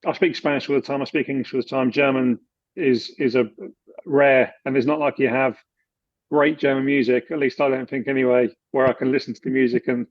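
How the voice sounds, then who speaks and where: Relaxed British man on a dull line. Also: medium pitch, fairly quick, no room sound. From United Kingdom. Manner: relaxed, with frequent fillers and restarts. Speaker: guest, expat finance expert. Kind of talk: casual interview conversation.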